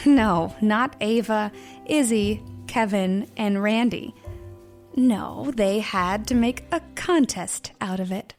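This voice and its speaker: Slow, wry storyteller. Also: female voice, medium pitch, faint music behind. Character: wry female storyteller narrating a Christmas tale. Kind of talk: scripted narration.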